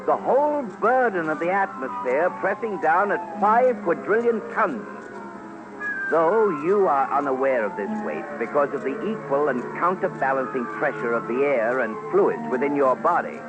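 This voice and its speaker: A man's voice: on the high side, sing-song, moderate pace, highs cut off. A man narrating a scripted radio documentary as commentator, formal, theatrical and emphatic. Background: music.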